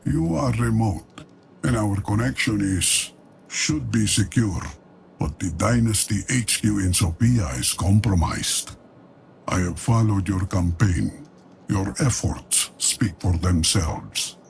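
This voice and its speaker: A deposed dynasty founder gives a slow, secretive briefing in a video game cutscene. His voice is deeply gravelly and low. The words come out unhurried. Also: a little hiss or hum, heavily acted.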